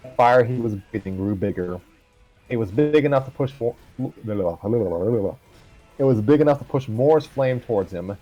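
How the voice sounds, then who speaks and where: A man's voice: mid-range voice, animated, a little slow, highs cut off, over music. A man reading a fan story aloud on a livestream, casually, with stumbles and asides.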